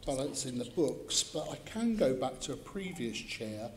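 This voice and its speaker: A man's voice: medium pitch, very animated, normal speed, slight background noise. Middle-aged British man, pointed questioning. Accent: British English. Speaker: assembly member questioning. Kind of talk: formal committee questioning. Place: large council chamber. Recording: echoey hall with faint ambience.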